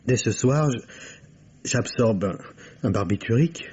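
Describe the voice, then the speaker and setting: Husky, highly animated, flamboyant private secretary. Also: male voice, measured pace. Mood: distraught, self-pitying. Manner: effusive, dramatic, indignant outbursts, stammering. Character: flamboyant, theatrical, high-strung male private secretary and costumier. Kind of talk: French radio mystery play acting. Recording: dull vintage radio recording.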